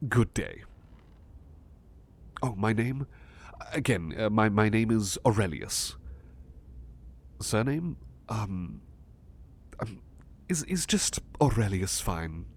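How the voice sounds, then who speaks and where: A man playing an eager, courteous butler in an ASMR roleplay, speaking formally and politely with flustered, excitable stammering. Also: fairly low pitch, creaky, slight background noise, heavily acted. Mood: earnest, promising. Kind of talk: ASMR roleplay acting, personal attention.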